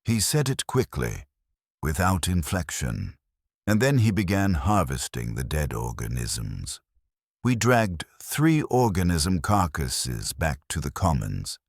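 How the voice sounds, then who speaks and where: A man narrating a dark science fiction horror story in the first person, in a grave, measured storytelling manner. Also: rumbling low, no echo, clean studio sound.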